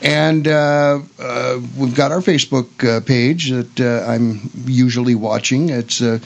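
Older man with a creaky voice, hosting radio. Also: mid-range voice, fairly even intonation, a little slow, muffled recording. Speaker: radio host. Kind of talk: casual chatty radio hosting. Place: radio studio.

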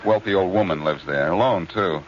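An actor playing a cool, decisive, wry amateur detective in 1940s American radio drama, brisk and commanding. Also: male voice, sits low, natural voice. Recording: muffled old radio, crowd-like background noise.

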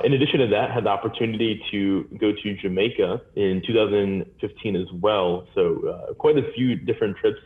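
A man in his twenties, an alumnus guest, answering reflectively over a video call. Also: fairly low pitch. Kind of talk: remote interview answers. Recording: tinny, echoey call audio.

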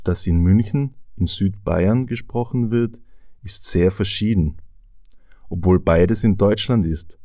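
A man from Tyrol with an Austrian accent, explaining a language topic to learners of German in a clear, measured way, with rhetorical questions. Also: low-pitched, mellow, dry recording, clean studio sound. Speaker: language teacher.

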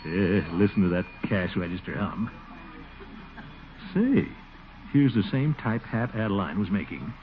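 An actor playing a pompous, blustery, easily flustered middle-aged water commissioner in a 1940s radio comedy, on a noisy, muffled recording. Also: male voice, fairly low pitch, very animated, measured pace, pauses often. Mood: smug, scheming. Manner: blustery, self-important, chuckling.

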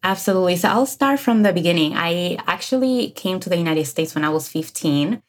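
An energetic woman in her thirties from the Dominican Republic, speaking with a Dominican Spanish accent as a guest on an interview podcast, who laughs often. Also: lowish voice, fairly quick. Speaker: guest, career coach. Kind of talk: casual interview chat.

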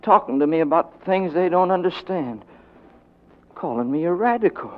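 On a narrow, phone-like 1940s radio recording, a condemned man on the run speaks in a slightly high voice. He rambles with earnest, weary conviction about his ideals, in old radio drama style. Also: lively intonation, moderate pace. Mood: anguished fervor.

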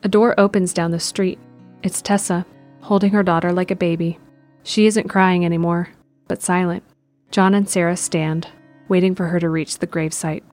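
A woman narrating a scripted audio drama in a measured, descriptive way. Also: fairly low pitch, steady tone, darkish tone, soft background music.

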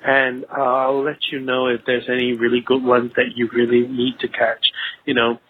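A man's voice: medium pitch, monotone, normal speed, slight background noise. Male caller from Singapore, phone-quality audio, hesitant, rambling casual chat. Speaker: phone-in listener leaving a voicemail. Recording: tinny phone-line voicemail.

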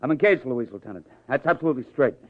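A man's voice: medium pitch, lively intonation, racing, close to an everyday voice. An actor playing a young male prizefighter in 1950s radio drama, hesitant, plain-spoken and defensive. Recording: muffled vintage radio recording.

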